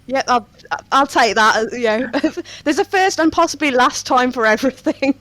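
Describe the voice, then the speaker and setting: A woman's voice: high voice, moderate pace, a bit bright, no room sound. A woman hosting a podcast in a casual interview style, with a playful, wry way of talking. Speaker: podcast host.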